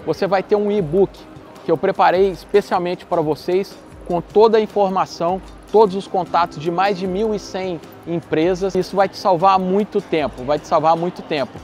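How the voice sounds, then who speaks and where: A man in his thirties from Brazil, hosting a walkthrough vlog at a busy trade fair, energetic and talking with his hands on a lapel mic. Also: high-pitched. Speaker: vlog host. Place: large indoor exhibition hall, busy trade fair. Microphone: clip-on lapel mic. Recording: loud crowd noise of a busy hall. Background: crowd chatter of a busy trade fair.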